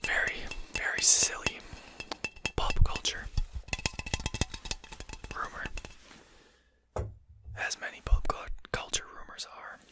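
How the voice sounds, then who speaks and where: A performer voicing a courteous but condescending vampire in a whispered ASMR roleplay, speaking formally and with measured care. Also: sharp tone, faint music behind. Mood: bemused, admonishing. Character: courteous, condescending vampire manor lord, amused and civil.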